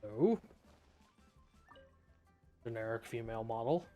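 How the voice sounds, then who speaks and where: A man's voice: medium pitch, takes it slowly, dark tone, lo-fi sound, faint music behind. A young man giving casual, joking and offhand commentary while streaming a video game.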